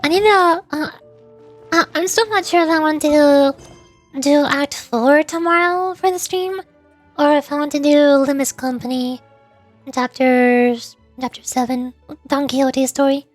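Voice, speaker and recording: A woman's voice: sits high, steady tone, measured pace, no echo, soft background music. A woman livestreamer chatting casually over a game in a playful, theatrical way. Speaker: vtuber streamer.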